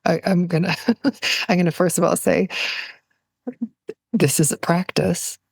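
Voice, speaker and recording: Woman podcast guest, lowish pitch, dry sound. Earnest, reflective storytelling, feeling out her words as she goes. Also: level tone, measured pace, vocal fry, slightly rough, dry recording.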